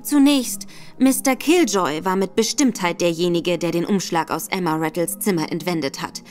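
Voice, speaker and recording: An actress playing a confident, cunning female detective in a radio drama, speaking briskly. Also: lowish voice, a little mellow, faint music behind, natural voice. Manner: self-assured, brisk.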